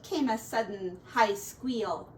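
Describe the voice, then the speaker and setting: Female teacher for children's read-aloud: high, expressive, unhurried, folksy, recorded a little far from the mic with faint noise. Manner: expressive, drawn-out words, folksy asides to young listeners. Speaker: teacher reading a storybook aloud. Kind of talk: animated children's storybook read-aloud with chatty asides.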